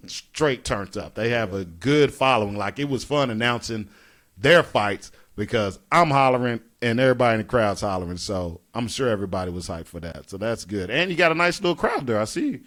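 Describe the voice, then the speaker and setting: A man's voice: mid-range voice, a bit bright. Kentucky guy hosting a chill interview, easygoing, slangy, hypes folks up. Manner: laid-back, hypes things up with slang. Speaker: host. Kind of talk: casual interview chat.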